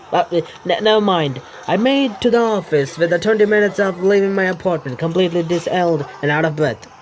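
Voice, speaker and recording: A man's voice: fairly high pitch, a little mellow. A man reading visual novel narration aloud, stumbling and repeating words with muttered asides. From India.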